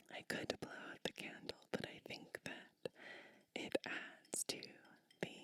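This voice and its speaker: Slow whispering woman, soothing bedtime witch. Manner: gentle, soothing, attentive. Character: kindly witch preparing a guest for bed. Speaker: ASMR host playing a bedtime witch. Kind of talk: whispered ASMR personal-attention roleplay.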